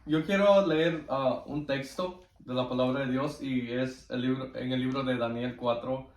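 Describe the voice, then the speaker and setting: A man's voice: mid-range voice, fairly even intonation. Man, distant echoey recording. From Guatemala. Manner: devout, earnest, halting. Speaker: interview guest giving testimony. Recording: roomy echo, distant microphone.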